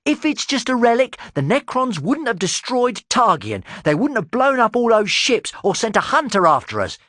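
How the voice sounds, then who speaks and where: A man voicing a hot-headed young male ganger who shouts in fury. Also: very high-pitched, very animated, moderate pace, no room sound, studio quality, voice pushed up, heavily acted. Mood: accusing. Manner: shouting, raging.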